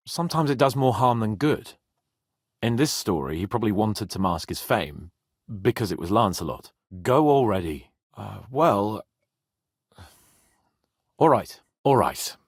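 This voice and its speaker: Cocky hot-headed young medieval lord for a game, bit raspy, super swingy pitch, dry close sound. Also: male voice, measured pace, pauses often, no echo, exaggerated voice. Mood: hesitant. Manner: cocky, sardonic, expressive. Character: brash young male medieval nobleman, haughty, teasing, hot-headed. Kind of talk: dramatic video game voice acting.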